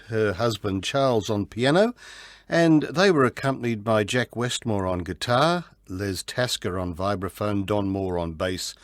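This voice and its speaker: A man with an Australian accent presenting a music programme on community radio in an informative, measured way. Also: on the low side, no echo, clean studio sound.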